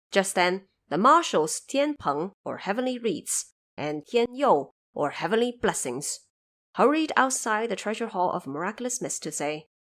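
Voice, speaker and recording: Woman narrator, mid-pitched, very lively intonation, theatrical, deliberate phrasing, scripted fantasy storytelling. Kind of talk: scripted dramatic narration of a classic fantasy tale.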